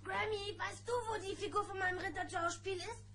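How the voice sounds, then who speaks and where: A woman's voice: very high voice, fairly even intonation, fairly quick, background noise. A woman playing a young, whiny, quarrelsome gummi bear child in a German children's audio play. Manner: petulant.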